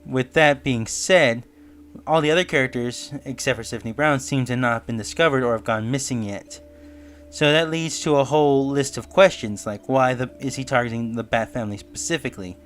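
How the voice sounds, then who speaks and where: A man's voice: mid-range voice, brisk. A man giving explanatory, musing commentary in the style of a semi-scripted video essay review. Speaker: online reviewer and theorist. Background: background music.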